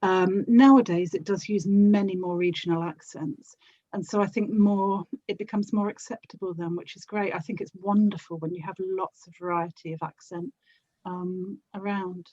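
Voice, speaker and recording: British female interview guest, near-BBC accent, thoughtful explainer, mid pitch, dry but slightly muffled lo-fi sound. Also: steady tone, moderate pace, dark tone, no room sound. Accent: British, close to BBC English. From United Kingdom. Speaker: podcast guest, voice actor and audiobook narrator. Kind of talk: casual interview chat.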